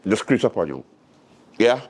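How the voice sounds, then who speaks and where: A man's voice: lowish voice, sing-song, moderate pace. A man with a Caribbean accent hosting a late-night show, giving casual news commentary and acting out quotes in a storytelling way.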